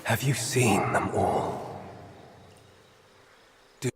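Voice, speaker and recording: A man's voice: on the low side, heavy background noise. A man playing a solemn, cryptic guardian creature in a video game cutscene, speaking in archaic, theatrical phrases over music. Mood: grave, cryptic. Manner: archaic, slow, theatrical speech. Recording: loud music behind the voice.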